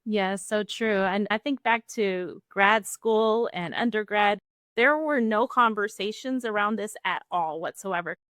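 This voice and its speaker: A woman's voice: mid-range voice, unhurried, fairly bright tone, no room sound, studio quality. A woman co-hosting an interview podcast, agreeing with the guest and then asking her a question in casual conversation. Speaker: podcast co-host.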